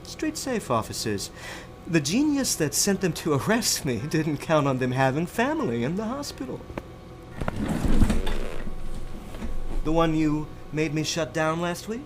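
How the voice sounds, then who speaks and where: A man acting in an audio drama as a calm, benevolent outlaw leader and protector, measured and wryly reassuring. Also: a little high, background noise. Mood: pointed.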